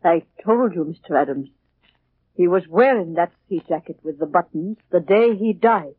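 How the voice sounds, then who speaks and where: An actress playing a timid but indignant housekeeper in a 1940s radio drama, flustered and deferential, on a muffled old recording. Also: female voice, on the low side, expressive, moderate pace, slightly rough, dry recording. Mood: meek.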